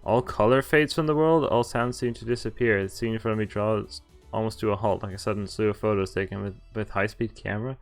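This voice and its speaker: A man's voice: on the low side, steady tone, brisk. A man streaming a game, reading visual novel text aloud and reacting casually. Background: game music.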